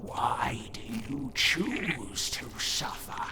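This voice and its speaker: A performer in a horror ASMR roleplay voicing a serene, sinister plague spirit that coaxes in breathy, tempting tones. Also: medium pitch, sing-song, drawn-out words, heavy background noise. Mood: sinister coaxing. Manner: breathy, seductive coaxing.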